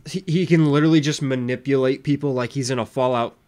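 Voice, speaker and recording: A man's voice: medium pitch, fairly even intonation, quick pace, darkish tone. A young adult man giving casual reaction commentary into a desk microphone in a small room. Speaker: reaction video host. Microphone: desk microphone with headphones.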